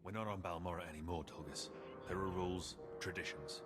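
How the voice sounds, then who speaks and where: An actor playing an arrogant, coldly confident male rival in a video game, with a haughty, measured, threatening delivery. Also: low voice, fairly even intonation, close to an everyday voice. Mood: cold menace. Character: arrogant male Sith acolyte rival, menacing, coldly sure of himself. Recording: loud music behind the voice.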